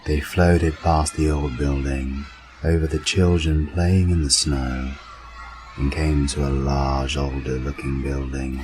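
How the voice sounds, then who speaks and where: Solemn storybook narrator played by a man, bottomless pitch, unhurried reading over faint music. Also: level tone, a little mellow. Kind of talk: scripted narration.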